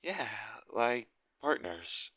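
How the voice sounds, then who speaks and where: A man's voice: medium pitch, very animated, drawn-out words, sharp tone, no echo, heavily acted. A man playing a shy, smitten game developer on a date in a romantic audio roleplay, speaking nervously and hesitantly straight to the listener. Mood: earnest, nervous. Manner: awkward, nervous, hesitant and stammering.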